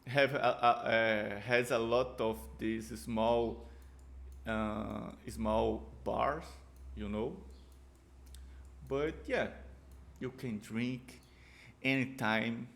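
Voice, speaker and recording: Brazilian-accented man, slow halting English, gravelly fry, casual reaction-video chat. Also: medium pitch, fairly even intonation, fairly bright tone. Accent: Brazilian Portuguese. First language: Portuguese. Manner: halting, repeats words, searches for English.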